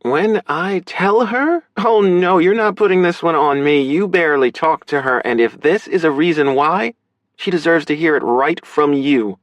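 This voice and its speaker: Audiobook big sister, played by a man: lifted pitch, frank, earnest, caring, emotionally open. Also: normal speed, no room sound, natural voice. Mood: firm, coaxing. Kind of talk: audiobook character dialogue.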